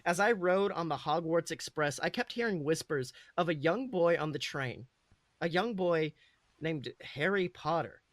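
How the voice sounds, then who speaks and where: A man's voice: on the high side, animated, unhurried, no echo. A man voicing an eager, proud eleven-year-old wizard boy who tells his own story in a chatty, self-correcting way. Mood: regretful. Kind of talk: first-person dramatic storytelling.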